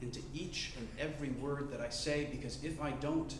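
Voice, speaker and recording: A man in his twenties telling a story on stage, choosing and enunciating each word carefully, with deliberate pauses. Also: mid-range voice, steady tone, a little hiss or hum. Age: 20s. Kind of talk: live storytelling performance. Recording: roomy live-venue sound.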